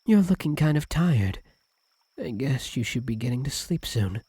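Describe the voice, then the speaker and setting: A man playing a possessive, doting yandere fox-spirit husband in an intimate ASMR roleplay, breathy, tender and clingy with a sweetly menacing edge. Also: mid-range voice, animated, moderate pace, dark tone, no room sound. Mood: tender, adoring. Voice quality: breathy, husky, at times whispered. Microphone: close, dry microphone.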